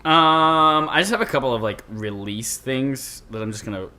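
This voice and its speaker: A man's voice: mid-range voice, lively intonation, moderate pace, sharp tone. A man co-hosting a podcast, running casually through video game news items. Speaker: podcast co-host.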